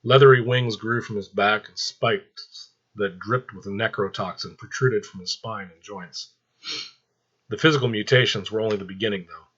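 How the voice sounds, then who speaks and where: A man narrates a story dramatically in a lowish, husky voice with a forceful edge. The recording is slightly dull. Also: normal speed.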